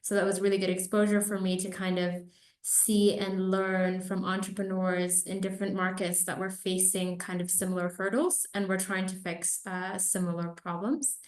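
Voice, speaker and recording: A woman's voice: mid-range voice, fairly even intonation, moderate pace. A woman from Kenya giving conversational answers as an investor being interviewed on a webinar call. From Nairobi, Kenya. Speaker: venture capital investor, interview guest. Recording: roomy echo on webinar call.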